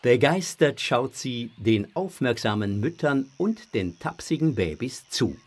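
A man's voice: medium pitch, very animated, unhurried. A man narrating a children's audio drama from a script.

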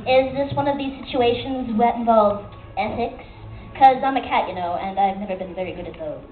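A woman's voice: fairly high pitch, fairly quick, over music, close to an everyday voice. A woman playing a sarcastic, self-serving talking cat with wry quips in a live radio play reading. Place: hotel function room on stage before audience. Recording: echoey hotel room, lo-fi camera, audience.

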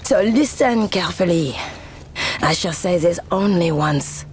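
A woman's voice: lowish voice, animated, measured pace, slight background noise. A woman voicing an earnest, commanding resistance leader with a French accent in a video game. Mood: solemn, rousing. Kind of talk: video game cutscene acting.

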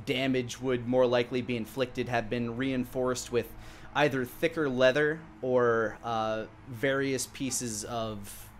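Halting male game master, noisy background. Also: medium pitch, steady tone. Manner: halting, descriptive. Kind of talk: improvised tabletop game narration. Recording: clearly audible background noise.

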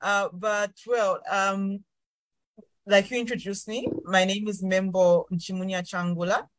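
A woman's voice: mid-range voice, steady tone, measured pace, no room sound, low-quality recording. A woman in her thirties with a Zambian accent, answering interview questions in a hesitant, thoughtful way over a video call. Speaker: interview guest, urban planner.

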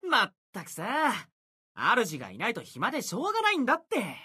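A man voicing a brash, boastful young sword warrior for an anime-style game, youthful and husky-edged, with cocky, casual boyish speech. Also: very high voice, huge pitch swings, normal speed, no room sound, clean studio sound, full character voice. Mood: pouting. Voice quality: youthful, bright, husky edge.

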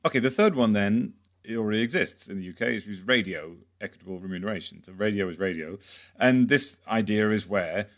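A man hosting a music industry podcast, reading a subscription plug and asking questions casually. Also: on the low side. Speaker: podcast host. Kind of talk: podcast interview and ad read.

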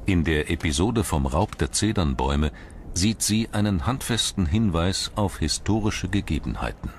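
A man reading scripted documentary narration in a measured, articulate storytelling manner. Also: low-pitched, lively intonation, slight background noise. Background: music.

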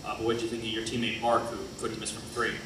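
A man's voice: on the low side, monotone, fast talker. A man working as a reporter, asking a question at a press conference. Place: press conference room, indoors. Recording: echoey room, far from mic, noisy.

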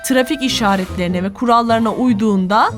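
High-voiced woman narrating for children. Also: quick pace. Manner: didactic, encouraging, clearly articulated. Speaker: narrator of a children's educational cartoon. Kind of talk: scripted narration addressed to children. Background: music.

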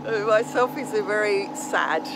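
A woman's voice: medium pitch, moderate pace, loud music behind the voice, poor audio. A middle-aged woman chatting cheerfully to her phone camera on the deck of a sailboat, laughing often. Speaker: sailing vlogger. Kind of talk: casual vlog chat. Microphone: phone selfie at arm's length.

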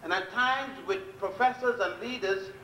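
An older man giving a public lecture from a podium, blunt and defiant, telling his story with long pauses. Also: high-pitched, sharp tone, recorded from a distance, a little hiss or hum. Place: lecture hall at a podium before a chalkboard. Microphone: podium mic on a stand, filmed from a distance. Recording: echoey hall, recorded from afar.

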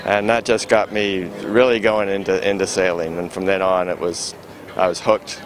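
An elderly man in his eighties, talking casually and haltingly with many fillers and chuckles, interviewed at an outdoor city marina on a handheld mic. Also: deep voice, level tone, background noise. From Florida. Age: 80s. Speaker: sailing school owner. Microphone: handheld microphone held by interviewer.

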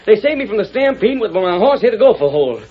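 A man playing an earnest, excitable American cowhand with urgent folksy dialect in a 1940s radio western. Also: sits high, fast talker. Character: earnest, excitable cowhand pleading his case. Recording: muffled old radio broadcast, crowd behind.

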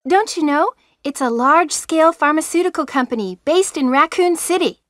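An eager young female medic from an old video game. Her voice is very high and girlish, with stilted delivery and a close, dry sound. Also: lively intonation, moderate pace, fairly bright tone, no room sound. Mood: earnest, concerned.

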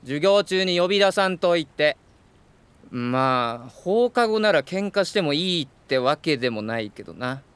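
Easygoing teacher in a school voice drama: Kansai accent, high clean tone, mild and patient. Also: male voice, expressive, a little slow, clean tone, dry recording. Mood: taken aback, chiding. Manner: mild, gently scolding, patient. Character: easygoing, well-meaning young male high school teacher. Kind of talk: Japanese voice drama acting. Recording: music behind the voice.

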